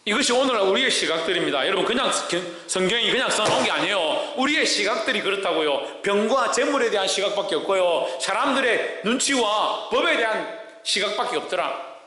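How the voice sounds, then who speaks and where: The man at the pulpit on a Sunday, his sharp voice ringing around a cavernous sanctuary as he quizzes the pews on each verse in a South Korean regional dialect. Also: sits high, expressive, brisk. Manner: insistent rhetorical questions, prods listeners for answers. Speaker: pastor preaching to a congregation. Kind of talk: verse-by-verse sermon with scripture reading. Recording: echoey hall with long reverb.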